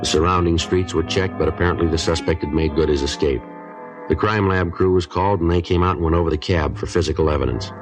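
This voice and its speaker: An American actor playing a terse, matter-of-fact police detective, narrating his case in a deadpan, clipped, businesslike way in an old radio police drama. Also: deep voice, fast, recorded from a distance, soft background music, close to an everyday voice. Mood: flat, factual.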